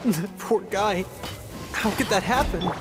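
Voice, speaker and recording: A man's voice: high-pitched, a little slow. An actor playing an earnest, guileless young man who is an admiring novice adventurer in a video game. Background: game music.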